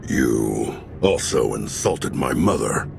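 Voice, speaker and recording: A gruff ex-soldier turned smuggler, speaking in an extremely low voice with a heavy gravelly rasp. He bargains with menace, in video game style, over clearly audible music. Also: expressive, slow, exaggerated voice. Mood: menacing. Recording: loud music underneath.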